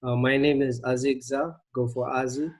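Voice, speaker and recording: A young man from Sweden introducing himself as a prospective film student on a video call, polite and halting. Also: mid-range voice, flat delivery.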